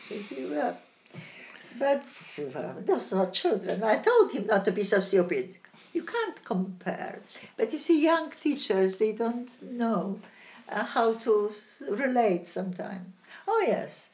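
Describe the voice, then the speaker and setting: An older woman with a Polish accent, a retired teacher telling stories in an interview, lively and halting with many restarts. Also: on the high side. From Poland. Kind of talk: interview storytelling.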